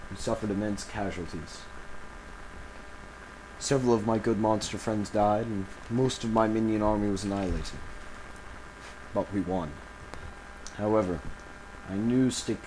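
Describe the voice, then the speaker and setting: A man playing an embattled villain recording a grave confessional audio log, speaking haltingly and solemnly with dramatic pauses. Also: fairly low pitch, a little mellow, a little hiss or hum, close to an everyday voice, poor audio. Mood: sorrowful.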